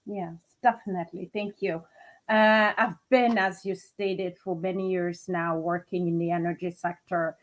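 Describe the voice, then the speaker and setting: A woman from Senegal, a chief people officer, answering interview questions in a measured way and counting off her points. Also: lowish voice, fairly even intonation, low-quality recording.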